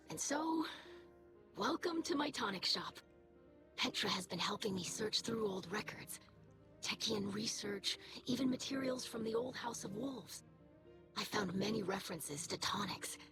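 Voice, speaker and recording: Woman doing a soft breathy alien girl scholar, earnest, music in the back. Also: mid-range voice, close to an everyday voice. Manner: earnest, thoughtful, soft delivery. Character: earnest young female alien scholar, devoted daughter. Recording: game soundtrack under voice.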